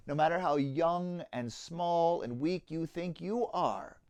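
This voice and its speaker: A man with a high voice reads aloud for children as a pastor would at storytime. His delivery is theatrical and rhythmic, with very lively intonation. The sound is dry and free of room echo. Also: gravelly, smooth. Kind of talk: expressive children's storybook reading.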